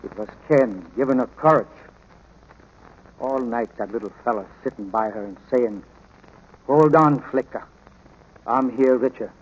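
An actor playing a kindly, loyal ranch hand in an old radio drama, with a halting, plain-spoken, folksy way of talking. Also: male voice, mid-range voice, close to an everyday voice. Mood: earnest, moved. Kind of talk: 1940s radio drama acting and narration. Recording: noisy old radio broadcast.

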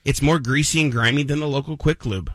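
A man's voice: medium pitch, a little fast, fairly bright tone, no room sound. A man co-hosting a radio show, speaking in a mocking, incredulous comic style. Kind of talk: comic reading and morning radio banter.

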